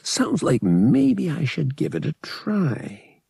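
Male narrator as a roguish young pilot, audiobook character, raspy, lively pitch, casual, coaxing, sceptical. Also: slow, dry recording, clean studio sound, heavily acted. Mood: coaxing, teasing.

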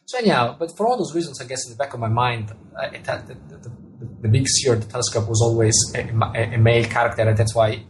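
A man's voice: mid-range voice, quick pace, low-quality recording. A man with an Italian accent gives thoughtful interview answers, often repeating words as he searches for the right phrasing. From Italy. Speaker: author and astronomer being interviewed.

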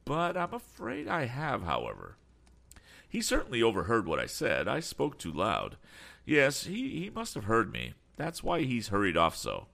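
A man's voice: mid-range voice, huge pitch swings, moderate pace, vocal fry, bright, forceful tone, voice pushed up, heavily acted. A man voicing a frank, determined young inventor in a storybook, explaining things earnestly. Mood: rueful, worried. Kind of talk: audiobook character voice.

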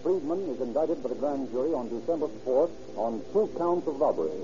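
A man's voice: medium pitch, expressive, a little fast. A man announcing and narrating in formal, declamatory 1930s radio style. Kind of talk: 1930s radio dramatized narration and sponsor pitch. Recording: muffled, noisy 1930s radio recording.

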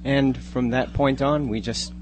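Male interview guest, mid-range voice, casual, thoughtful, halting, noisy room tone. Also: mid-range voice, fairly even intonation. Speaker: guest, amateur scriptwriter. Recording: noticeable hum and background noise.

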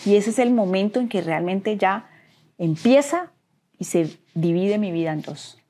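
A woman's voice: medium pitch, animated, measured pace, mellow. A woman with a Colombian accent giving an earnest personal account in an interview, often repeating phrases for emphasis. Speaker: interview guest. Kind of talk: emotional personal testimony.

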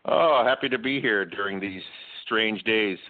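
A low-voiced man talks about singing over a remote call, explaining his views at length and filling pauses with ums. The line is thin and phone-like, with no room sound around him. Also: dry recording. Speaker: opera singer and guest. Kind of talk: remote interview conversation. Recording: dry tinny call line.

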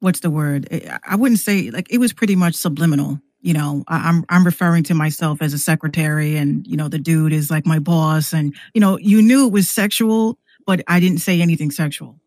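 A woman's voice: low voice, a little fast, hardly any pauses, a little mellow, no echo. A woman chatting casually as a podcast guest, telling stories with quoted asides. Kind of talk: casual chat.

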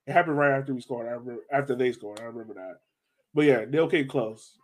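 A man's voice: mid-range voice, fast. Casual American man co-hosting sports chat. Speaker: soccer podcast co-host.

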